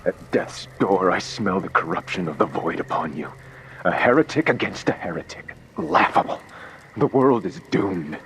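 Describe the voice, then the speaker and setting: A man playing a dying religious soldier in a video game, weak and labored, halting and grim. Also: deep voice, fairly bright tone, a little hiss or hum, rough recording. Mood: bitter, scornful. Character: dying zealous male religious soldier, bitter and despairing. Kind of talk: dramatic game cutscene acting.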